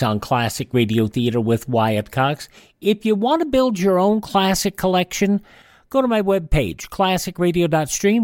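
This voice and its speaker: A man's voice: on the high side, darkish tone, no room sound, clean studio sound. A man hosting a radio program, presenting and giving promotional talk. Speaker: radio show host.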